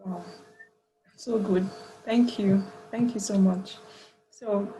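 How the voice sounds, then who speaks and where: A woman's voice: medium pitch, steady tone, slow, mellow, slight background noise, low-quality recording. A woman hosting an interview, asking questions and listening closely with frequent short murmurs of agreement. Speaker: interview host.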